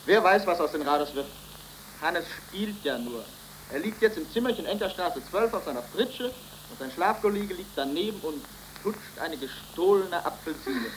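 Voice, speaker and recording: A man's voice: high voice, animated, normal speed. A man acting a wry, resigned figure with a dry, ironic manner, in 1930s radio drama style. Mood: dry irony. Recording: noisy vintage 1930s radio recording.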